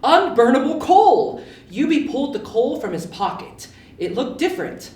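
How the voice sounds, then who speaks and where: Female storybook narrator, high voice with plenty of melody, roomy and slightly off-mic. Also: sing-song, moderate pace, darkish tone, recorded from a distance. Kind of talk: scripted children's story reading.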